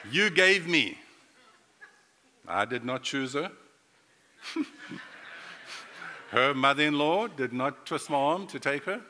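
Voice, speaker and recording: Bright-voiced preacher, hall echo. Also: male voice, a little high. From South Africa. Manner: playful, talks directly to the congregation. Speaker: pastor. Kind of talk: sermon. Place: church sanctuary.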